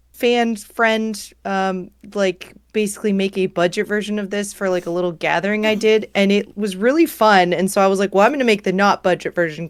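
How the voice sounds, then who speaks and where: Hoarse woman, chatty on a call. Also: medium pitch, dry recording, clean studio sound. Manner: chatty, laughing, rambling asides. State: hoarse, getting over a cold. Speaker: cosplayer guest. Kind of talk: casual chat on a video call. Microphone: video call.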